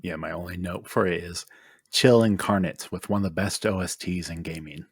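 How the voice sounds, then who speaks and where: Male panelist, deep voice, informal and somewhat halting talk, close dry sound. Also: slightly rough, dry recording. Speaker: podcast panelist. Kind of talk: casual chat.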